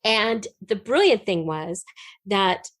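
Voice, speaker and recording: A woman's voice: mid-range voice, slow pace, dry recording. A middle-aged American woman chatting casually as an interview guest, telling personal stories and acting out what people said. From United States. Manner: chatty, animated retelling with acted-out quotes. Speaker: podcast guest, writer and performer.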